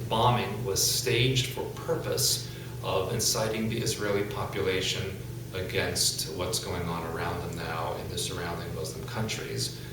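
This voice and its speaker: A middle-aged man from the United States giving news commentary in a measured, deliberate, emphatic way. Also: sits low. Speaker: video host, commentator. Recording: echoey, distant mic with loud hum.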